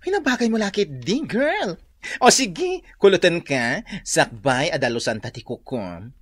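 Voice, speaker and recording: A woman's voice: lowish voice, big pitch swings, a little slow, dry recording. A woman with an Ilocano accent playing a gossipy, placating beautician in a radio drama, exclamatory and theatrical.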